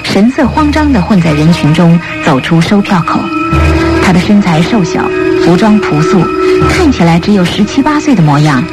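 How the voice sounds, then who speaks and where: A woman narrating a scripted radio drama in a measured, formal storytelling manner. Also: lowish voice, very animated, loud music behind the voice.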